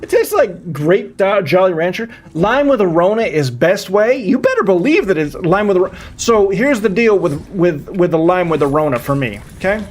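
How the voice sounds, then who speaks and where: A man's voice: high voice, big pitch swings, normal speed, hardly any pauses. A man in his thirties chatting casually as a live streamer, rambling and often repeating his phrases. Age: 30s. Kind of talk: casual chat with viewers while gaming.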